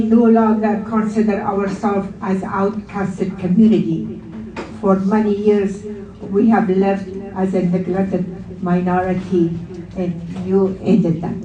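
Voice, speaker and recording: Echoey room, a bit far from the mic, afghan woman w farsi as first language reading out a speech, mellow voice, halting here and there. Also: medium pitch, muffled recording. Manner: halting in places, with slightly unidiomatic English. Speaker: community liaison speaking at an event. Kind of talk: prepared public speech. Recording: roomy echo, distant from the mic.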